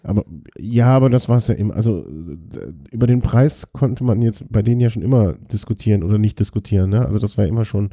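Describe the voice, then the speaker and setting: Gravelly, dark-voiced man chatting casually. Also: on the low side, fast, few pauses, no room sound, studio quality. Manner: joking, rambling with many restarts. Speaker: podcast co-host. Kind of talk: casual chat.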